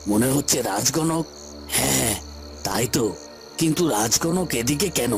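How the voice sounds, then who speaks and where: A man's voice: medium pitch, expressive, unhurried, vocal fry, faint music behind. A man playing a scheming, deceitful villain in a fairy-tale cartoon, with a theatrical, self-questioning delivery. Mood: suspicious, plotting.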